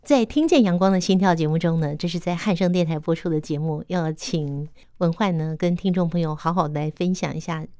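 A woman radio host with a fairly low voice and a Taiwanese Mandarin accent, recorded close and dry. She speaks conversationally, guiding an interview with animated follow-up questions. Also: fairly quick, hardly any pauses, dry recording.

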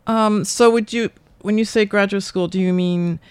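A mature woman hosting a casual interview, thinking aloud in halting questions that often trail off. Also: mid-range voice, fairly even intonation, clear, resonant tone, dry recording. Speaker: radio interview host.

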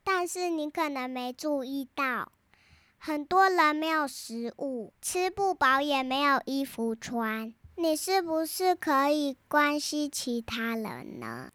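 A woman's voice: high voice, very animated, slow pace, fairly bright tone, dry recording, heavily acted. A young girl playing an earnest, outspoken storybook heroine, reciting her lines carefully. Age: child.

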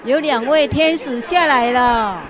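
Radio drama disciple, played by a woman: excited, exclamatory, high register, crowd bustle behind. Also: a little slow, music in the background. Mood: eager, awed. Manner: exclaiming, repetitive. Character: excited, obedient follower of Jesus. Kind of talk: religious radio drama acting.

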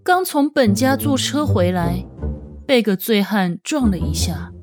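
A woman narrating an audio novel in a dramatic, expressive storytelling style. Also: mid-range voice, unhurried. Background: music.

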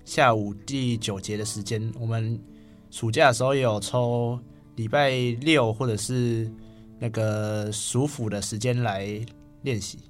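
A teenage male student with a Taiwanese Mandarin accent, answering interview questions casually and a bit haltingly. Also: mid-range voice, steady tone. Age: teens. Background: soft guitar music.

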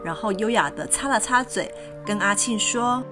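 A woman reading a children's story as narrator in an expressive, scripted storybook style. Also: medium pitch, normal speed. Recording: music behind the voice.

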